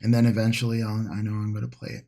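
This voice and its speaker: A man hosting a casual painting livestream, chatting to viewers in an unhurried, rambling way as if musing to himself, with a husky, gravelly voice. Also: fairly low pitch, monotone, warm tone. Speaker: livestream host, miniature painting hobbyist.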